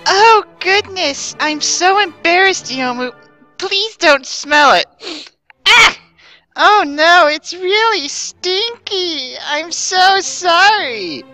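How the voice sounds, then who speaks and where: An elegant ghost mistress, played by a woman, with a high, bright voice that draws its words out slowly. She is doting and easily flustered, speaking in a gushing, melodramatic storybook style. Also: animated, soft background music, full character voice. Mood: mortified.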